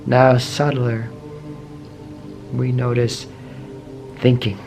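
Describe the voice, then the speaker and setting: A man guides a meditation at a very slow, measured pace. His medium-pitched voice has plenty of gravelly fry. He speaks gently in short phrases with long pauses, over soft music. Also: little pitch movement. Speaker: meditation teacher.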